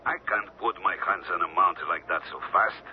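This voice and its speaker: An actor playing a cold, ruthless major of a dictatorship in a radio drama, speaking in a clipped, guarded way. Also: male voice, sits low, a little fast, lightly acted. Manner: clipped, guarded, menacingly calm. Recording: noisy, thin phone-like old radio recording.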